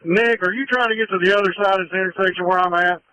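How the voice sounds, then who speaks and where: A man speaking as a police supervisor over two-way radio, urgent and pausing between phrases. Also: high voice, steady tone, pushed and bright, smooth, no echo, poor audio. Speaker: police supervisor at a disaster scene.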